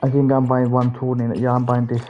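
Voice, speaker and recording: A man's voice: mid-range voice, completely flat delivery, fairly quick, warm tone, highs cut off. A man giving casual live commentary as he plays a game, mostly talking to himself in short bursts. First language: Finnish. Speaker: game streamer. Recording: game music behind. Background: video game music.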